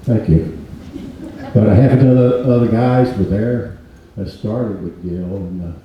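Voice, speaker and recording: An older man, hoarse, giving a halting speech with many pauses. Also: lowish voice, lo-fi sound, a little hiss or hum. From Mississippi Delta, USA. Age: 80s. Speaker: guest speaker. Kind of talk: informal speech at a reunion event. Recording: echoey hall audio, distant mic.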